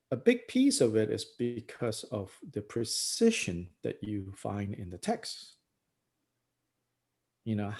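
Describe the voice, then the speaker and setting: A middle-aged man from Malaysia, a Buddhist teacher talking through ideas in a thoughtful, unhurried way, with many pauses, "you know"s and frequent chuckles. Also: medium pitch, a little mellow. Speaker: Buddhist teacher leading a book study. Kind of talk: reflective discussion talk.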